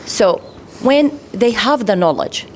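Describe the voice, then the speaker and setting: A woman from Iraq with an Arabic accent, speaking English as a second language at a round-table discussion, pausing often and restarting her sentences. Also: a little high, lively intonation, a little hiss or hum. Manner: halting, many fillers and restarts. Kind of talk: panel discussion remarks.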